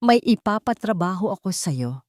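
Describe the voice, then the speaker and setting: A woman's voice: mid-range voice, very animated, normal speed, a little mellow, no room sound, clean studio sound, close to an everyday voice. A woman voicing a cold, commanding, condescending rich society lady in an audio story. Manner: icy, authoritative, condescending.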